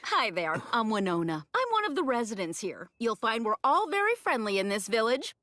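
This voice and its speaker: A woman's voice: on the high side, very animated, brisk, pushed and bright, dry recording, clean studio sound. A woman voicing a teasing, friendly beast-woman and village mother in an English anime dub, playful and folksy. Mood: friendly, flattered.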